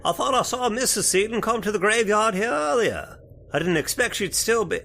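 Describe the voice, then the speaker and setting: A man's voice: high voice, very animated, moderate pace, vocal fry, sharp tone, slight background noise, full character voice. A man playing a folksy, spooked cemetery caretaker in old-time radio horror style, with rustic turns of phrase. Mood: friendly. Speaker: voice actor.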